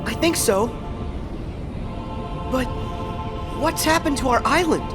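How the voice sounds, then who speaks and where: A man voicing an earnest, curious, plucky teenage boy hero in an animated game cutscene. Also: extremely high pitch, slow, over music, heavily acted. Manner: earnest, boyish.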